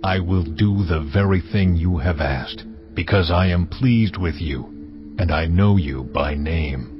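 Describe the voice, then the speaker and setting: An actor playing God in a dramatized audio Bible, speaking in a slow, measured, commanding way. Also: male voice, low voice. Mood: solemn. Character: God, deep solemn voice of the Lord. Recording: slightly dull audio with music bed.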